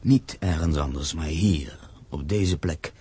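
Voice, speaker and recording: A man's voice: very deep voice, takes it slowly, mellow, slightly rough, exaggerated voice. A man acting in a Dutch radio drama as a proud, grieving castle owner, speaking solemnly and emphatically. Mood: resolute, idealistic.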